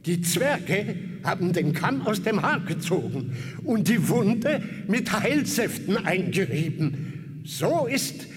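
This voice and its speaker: An actor playing a truthful magic mirror in a radio play, speaking in solemn, singsong rhyme. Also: male voice, sits high, big pitch swings, slow, full character voice. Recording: long reverb, music behind. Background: music.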